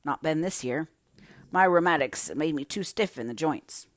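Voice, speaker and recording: A woman's voice: low-pitched, animated, normal speed, no room sound, studio quality. A woman voicing a crusty old male gardener, grumbling and blunt but kind underneath, in a storybook reading. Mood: curious, grumbling. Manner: grumbling, blunt dialect speech.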